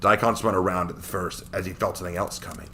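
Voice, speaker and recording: A man with a deep voice reads a dramatic story aloud. He works as the narrator of a scripted action tale. There is a faint noise in the background. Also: fairly even intonation, fast, sharp tone.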